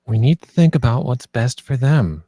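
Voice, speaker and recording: A wary young leader of orphaned friends , read by a man. The voice is mellow and middle-pitched, with a questioning, conversational delivery suited to a film script read-through. The sound is close and dry. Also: expressive, normal speed, husky, dry recording. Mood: worried, challenging. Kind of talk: table read of a screenplay.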